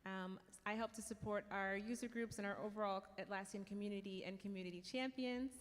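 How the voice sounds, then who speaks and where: An American woman talks casually as a conference panelist. Her voice has an ordinary middle pitch and carries through a hall with long reverb. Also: steady tone, a little fast. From United States. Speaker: community manager on a panel. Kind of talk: casual panel self-introduction. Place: conference stage in a large hall.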